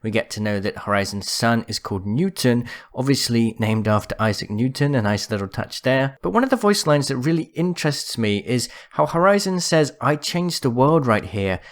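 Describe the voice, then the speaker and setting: A man's voice: medium pitch, lively intonation, normal speed, few pauses. A man hosting a gaming video, explaining lore in a conversational, speculative commentary style.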